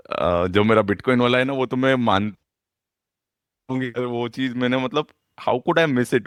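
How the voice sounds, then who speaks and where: A man's voice: mid-range voice, a bit bright, no room sound, clean studio sound. A man with an Indian accent in casual, reflective conversation, switching between Hindi and English phrases.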